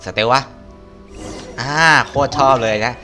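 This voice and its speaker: A man's voice: measured pace, a bit bright, soft background music. A man doing casual game playthrough commentary and reading character dialogue aloud. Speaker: game streamer.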